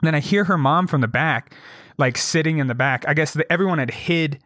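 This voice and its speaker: Animated young man telling a story. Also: brisk, dry recording, clean studio sound. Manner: animated storyteller, acts out quotes in a high voice; swears freely, many fillers. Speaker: podcast co-host. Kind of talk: casual storytelling chat.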